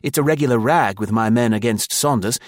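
A man voicing a naive, excitable young British army officer in an audiobook, chattering eagerly in upper-class slang. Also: mid-range voice, fairly quick, no room sound, studio quality, voice pushed up, natural voice. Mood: eager, confiding.

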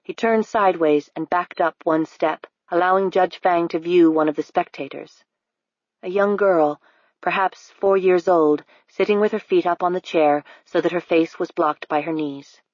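A woman narrating an audiobook in an even, unhurried storytelling manner. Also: on the low side, no room sound, lo-fi sound. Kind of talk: scripted narration.

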